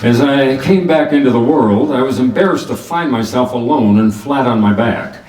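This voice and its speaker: An actor playing a wisecracking private detective in a hardboiled radio drama, speaking in a dry, sardonic, colloquial way. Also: male voice, medium pitch, normal speed, a little mellow, close to an everyday voice. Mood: wry narrating. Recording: roomy, distant microphone.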